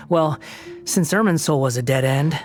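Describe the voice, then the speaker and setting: An actor playing an aloof, proud young wandering god in a video game, speaking with a cool, dry, mocking delivery. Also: male voice, fairly high pitch, expressive, unhurried, soft background music. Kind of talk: video game cutscene dialogue.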